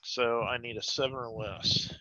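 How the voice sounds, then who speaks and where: Bright-voiced man playing a tabletop game. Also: mid-range voice, fairly even intonation, normal speed, dry recording, lo-fi sound. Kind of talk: casual game-table chat.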